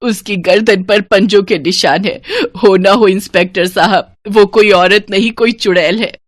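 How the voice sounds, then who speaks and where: A woman's voice: medium pitch, steady tone, normal speed, no room sound, studio quality, natural voice. A woman voicing a grieving, suspicious mother who speaks in an earnest, sorrowful manner.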